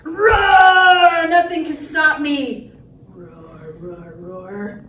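A woman voicing a small, boastful, roaring dinosaur from a children's picture book, shouting exuberantly. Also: very high-pitched, drawn-out words, heavily acted. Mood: low growling roars. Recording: echoey room, distant mic, faint noise.

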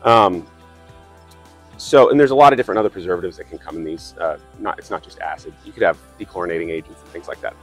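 A man in his thirties, a plant operator, talking through sampling steps in a relaxed way with lots of ums and restarts. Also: low-pitched, quick pace, slightly rough, faint music behind. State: slightly raspy from a cold. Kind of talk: instructional demonstration. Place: outdoors by trees.

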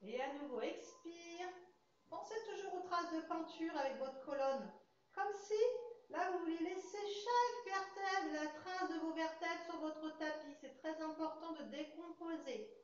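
A young woman with an English accent leading a Pilates class, calmly calling out breaths as she demonstrates, recorded from a far camera in a large studio. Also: extremely high pitch, unhurried. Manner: calm, counts breaths while demonstrating. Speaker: Pilates instructor. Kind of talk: guided exercise class. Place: large fitness studio with hard floor, indoors. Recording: echoey studio, dull sound, faint hiss.

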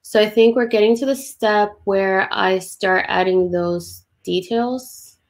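A woman's voice: mid-range voice, takes it slowly. A woman illustrator giving informal, self-deprecating commentary on a drawing demo, explaining as she goes on a video call.

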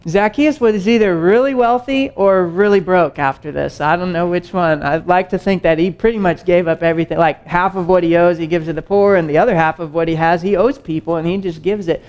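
A man's voice: sits high, brisk, hardly any pauses, clear, resonant tone, lo-fi sound. A young man teaching a sermon on a stage, talking casually and pacing as he gestures. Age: young adult. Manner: animated, conversational, gestures widely while pacing. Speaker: preacher. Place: stage with wood-panel backdrop and screen.

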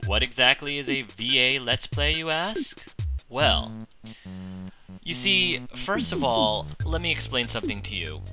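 A man hosting a Let's Play in a casual, enthusiastic way, phrasing things deliberately and with emphasis. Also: mid-range voice, animated, rough recording. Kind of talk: casual video introduction over game music. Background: video game music.

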